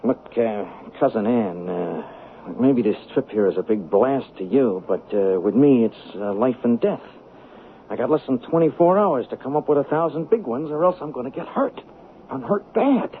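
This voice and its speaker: A man's voice: medium pitch, lively intonation, normal speed, natural voice. Man acting desperate streetwise hustler, tinny radio sound. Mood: anxious pleading. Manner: slangy, streetwise, stammering under pressure. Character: desperate small-time hustler, a whining, self-pitying loser. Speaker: actor and first-person narrator. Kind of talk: 1970s radio drama acting. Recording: tinny, noisy old radio broadcast.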